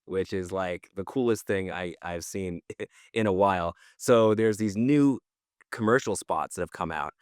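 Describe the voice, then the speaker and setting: A man's voice: low-pitched, a little slow, dry recording, clean studio sound. A middle-aged man co-hosting a podcast, chatting casually about news in an informal, slangy way. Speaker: podcast co-host presenting industry news. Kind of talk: casual chat.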